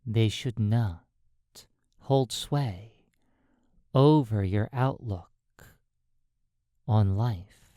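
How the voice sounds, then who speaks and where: A meditation guide narrating in measured, deliberate short phrases with pauses between them. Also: mid-range voice, dark tone, dry recording, studio quality.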